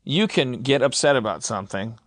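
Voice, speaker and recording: A man's voice: mid-range voice, lively intonation, fairly quick, a bit bright. A man hosting a comedy podcast in a loose, rambling, stammering, often profane style. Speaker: podcast host.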